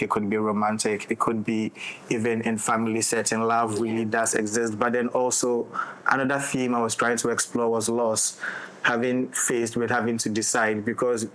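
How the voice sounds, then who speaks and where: A man's voice: fairly low pitch, fairly bright tone, poor audio. A man talking reflectively as a director in a discussion of creative work, in short broken phrases. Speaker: director of an audio drama.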